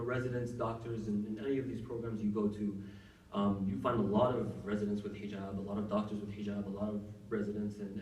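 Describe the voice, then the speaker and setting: Young male doctor, low voice, chatty with fillers, echoey room, mic set back, faint room noise. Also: darkish tone, far from the mic. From Saudi Arabia. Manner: conversational, many fillers and restarts. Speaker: workshop speaker, doctor. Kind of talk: casual advice talk to students.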